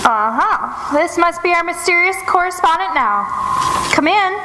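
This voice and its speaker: Casting: female actor as cool, knowing lady detective, high voice, theatrical old radio mystery, noisy live stage recording. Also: lively intonation, unhurried. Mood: dry, shrewd. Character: shrewd, composed lady detective, coolly probing. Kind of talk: old-time radio detective drama acting. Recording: echoey stage hall with noise.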